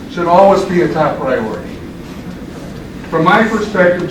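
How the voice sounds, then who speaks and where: Formal man, distant in an echoey hall. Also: sits high. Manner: formal, measured, deliberate. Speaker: public commenter at a college board meeting. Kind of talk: reading a prepared statement. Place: large meeting room. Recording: echoey meeting room, distant mic, background noise.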